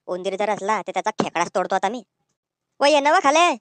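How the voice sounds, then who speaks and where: A woman's voice: medium pitch, lively intonation, a little fast, clean tone, dry recording, natural voice. A woman voicing a cheeky, suspicious young village man in a comedy cartoon, with a rural Ahirani accent from north Maharashtra. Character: cheeky young unmarried village brother-in-law, suspicious, quick-tongued.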